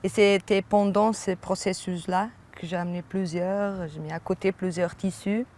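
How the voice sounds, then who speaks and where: A visiting artist from Portugal with a Portuguese accent, describing their work in a second language and pausing often to search for words. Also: mid-range voice, fairly even intonation, a little mellow, a little hiss or hum.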